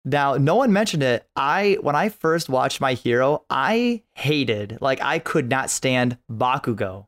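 A man's voice: mid-range voice, big pitch swings, unhurried, hardly any pauses, a bit bright. A man in a casual podcast chat, talking as an enthusiastic fan and often repeating himself as he goes. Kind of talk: casual chat about an anime.

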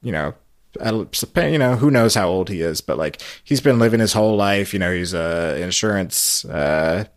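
A man hosting a podcast, recapping a story in a casual, halting, explanatory way. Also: sits low, lively intonation, no echo, studio quality. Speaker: podcast host.

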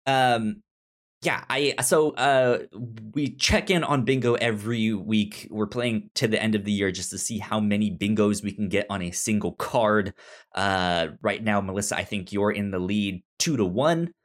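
A man hosting a casual review chat, speaking haltingly and often repeating or restarting his words. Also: lowish voice. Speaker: podcast host.

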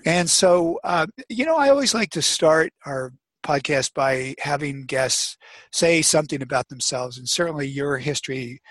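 A man's voice: fairly high pitch, lively intonation, normal speed, a bit bright, dry recording. A man hosting a podcast, asking rambling questions and correcting himself as he goes. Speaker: podcast host. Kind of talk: interview questions.

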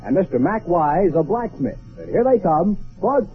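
An American man announces in polished old-time radio style, with a salesmanlike swing. His pitch moves in lively steps over a tinny, phone-like vintage recording with faint music. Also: normal speed. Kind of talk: scripted 1940s radio ad and announcing. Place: radio studio.

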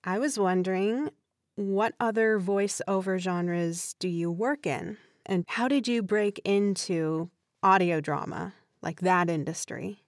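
Slow-paced woman podcast interviewer. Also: mid-range voice, no echo, studio quality. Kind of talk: measured interview questioning.

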